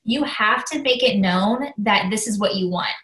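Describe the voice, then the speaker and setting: A woman's voice: mid-range voice, moderate pace, fairly bright tone, roomy sound. A woman coach with a cold, sounding slightly nasal, talking eagerly and chattily to a team. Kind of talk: casual training talk.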